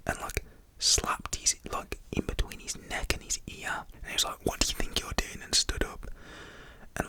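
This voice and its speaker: Young man, soft whispered storytelling. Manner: casual, rambling with many fillers.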